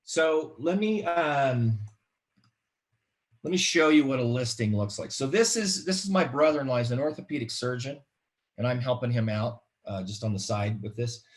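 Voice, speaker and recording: Casual middle-aged American man explaining things. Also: medium pitch. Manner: casual, halting, repeats words. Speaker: webinar guest presenter, marketing expert. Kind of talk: informal explanatory webinar talk. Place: home room, indoors.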